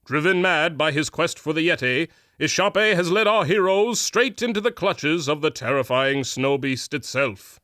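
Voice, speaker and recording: An actor playing a grandiose old-time radio serial announcer, narrating in a bombastic, theatrical way. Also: male voice, fairly high pitch, animated, moderate pace, pushed and bright, dry recording, studio quality. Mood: grand, suspenseful. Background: music.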